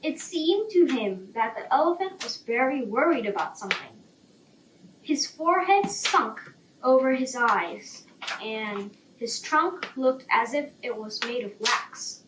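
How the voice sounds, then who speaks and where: A woman's voice: fairly high pitch, expressive, unhurried. A woman narrating a children's bedtime story from a script. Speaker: storybook reader. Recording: roomy, distant, faint background noise.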